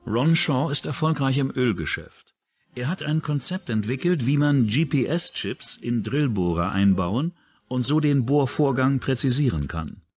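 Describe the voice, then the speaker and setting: A man's voice: mid-range voice, lively intonation, unhurried, a little mellow, husky, lo-fi sound. A man reading scripted true-crime documentary narration as a voice-over. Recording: voice-over with prominent music. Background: music.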